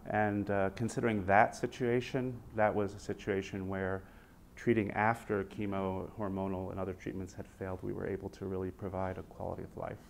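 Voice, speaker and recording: Low-voiced man telling patient stories. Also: vocal fry, slight background noise. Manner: measured, anecdotal, lively when quoting patients. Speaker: physician panelist. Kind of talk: storytelling in a panel interview. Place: conference room with a long table.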